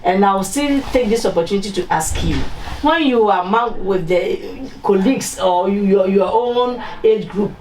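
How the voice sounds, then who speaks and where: A woman's voice: medium pitch, moderate pace, few pauses, a little mellow. A woman hosting a casual interview, chatty and teasing, often humming "mm-hmm" along. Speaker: interview host. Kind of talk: casual interview, switching into Twi for the sign-off. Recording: echoey room, distant mic, faint noise.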